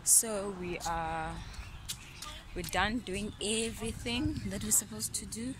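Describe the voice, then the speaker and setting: A woman's voice: medium pitch, slow, noisy background. A young woman in her twenties from South Africa, chatting to viewers at an outdoor garden market, recorded on a phone held at arm's length. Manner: chatty, addresses viewers directly. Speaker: vlogger. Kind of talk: casual vlog narration.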